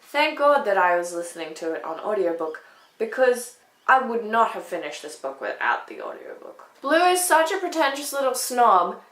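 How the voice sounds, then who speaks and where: A woman's voice: mid-range voice, fairly bright tone. A woman book vlogger chatting casually to camera, emphatic, often repeating words to make her point. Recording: echoey room.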